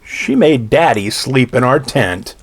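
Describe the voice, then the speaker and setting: A man's voice: medium pitch, lively intonation, takes it slowly, heavy background noise. A man reading a children's storybook aloud in a theatrical, playful way, drawing out his phrases.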